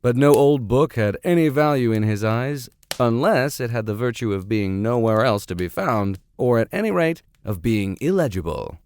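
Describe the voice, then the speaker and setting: A man's voice: mid-range voice, animated, normal speed, hardly any pauses, darkish tone, a little hiss or hum. A man hosting a reading of classic books, playful and sardonic, slipping between narration and offhand tangents. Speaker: host and reader of a classics podcast. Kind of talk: audiobook reading with comic tangents.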